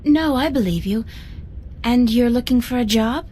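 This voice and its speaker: A woman's voice: a little high, normal speed, dark tone, slight background noise, close to an everyday voice. An actress playing a headstrong, blunt young woman in a video game, talking casually and directly.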